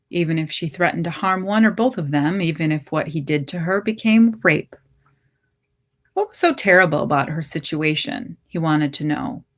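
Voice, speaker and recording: A woman reading literary fiction aloud as a story narrator, with measured, deliberate phrasing. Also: lowish voice. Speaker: story reader.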